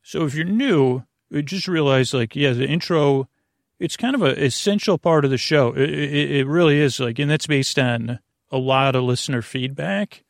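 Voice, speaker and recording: Guy with a creaky voice doing a rambly sleep podcast, keeps losing the point, kinda goofy, really dry close sound. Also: medium pitch, normal speed, no echo. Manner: meandering, hesitant, goofy, circles back on himself. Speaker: podcast host.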